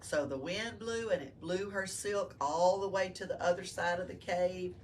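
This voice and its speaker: A woman's voice: lowish voice, normal speed, a little mellow, recorded from a distance. A woman reading a story aloud to children as a library storyteller, explaining it in simple words. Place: library room. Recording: faint background noise.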